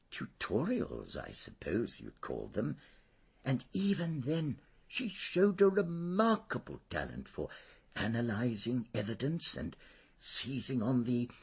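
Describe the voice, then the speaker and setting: Old english ex-schoolteacher in a radio play, really husky, slow n careful, fussy formal little man, bit hesitant. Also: on the low side, heavily acted. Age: older. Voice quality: husky, thin-voiced. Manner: hesitant, precise, formal, pedantic. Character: fussy, dignified, unprepossessing little ageing former schoolmaster. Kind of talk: radio drama dialogue.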